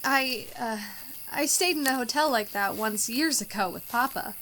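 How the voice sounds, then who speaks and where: A woman's voice: a little high, moderate pace, noisy background, natural voice. A woman playing a naive, romantic new bride in old-fashioned radio melodrama, genteel and given to dramatic hesitations. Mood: hesitant wonder.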